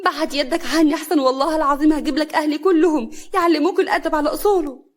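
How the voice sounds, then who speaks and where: A young village wife from a family tale, acted by a woman, speaks in a high voice with an Upper Egyptian accent. She sounds hurt yet defiant, protesting with plaintive indignation. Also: brisk, natural voice. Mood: pained protest.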